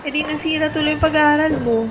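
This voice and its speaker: A woman playing a spirited young college best friend in a Filipino radio drama, expressive in both story and dialogue. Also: high-pitched, fast talker, noisy background, close to an everyday voice. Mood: playful, teasing. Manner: expressive, sentimental or playfully teasing. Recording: prominent music bed under voice.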